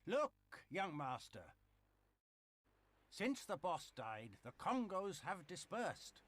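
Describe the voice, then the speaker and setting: An actor playing a loyal old retainer in an anime game cutscene, earnest and theatrically pleading. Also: male voice, sits high, very animated, slow, fairly bright tone, exaggerated voice. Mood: urgent pleading. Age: older. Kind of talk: English-dubbed anime game cutscene acting.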